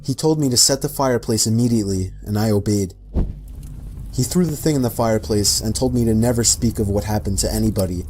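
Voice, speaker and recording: A man reading a first-person horror story in a steady, matter-of-fact way. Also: lowish voice, fast talker, a little hiss or hum, poor audio. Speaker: narrator.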